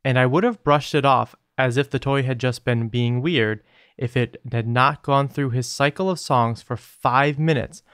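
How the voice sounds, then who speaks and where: A man's voice: mid-range voice, moderate pace, a bit bright, clean tone, dry recording, clean studio sound. A man co-hosting a podcast, reading listener emails aloud and chatting casually. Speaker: podcast co-host.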